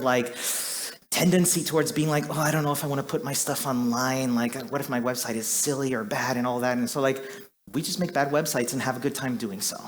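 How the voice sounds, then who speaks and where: A man from Chile, likely in his thirties, giving a chatty, self-deprecating conference talk on stage with lots of fillers. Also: mid-range voice. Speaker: conference speaker.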